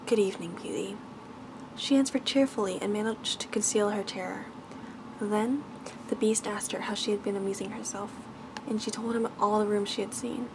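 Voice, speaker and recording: A woman's voice: a little high, noisy background. A woman reading a bedtime fairy tale aloud as ASMR narration. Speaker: ASMR storyteller.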